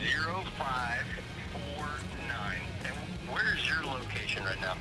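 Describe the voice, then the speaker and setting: A man's voice: mid-range voice, very animated, unhurried, over loud music, poor audio. A man working as a coast guard officer takes a call over a phone speaker, politely and procedurally repeating details back.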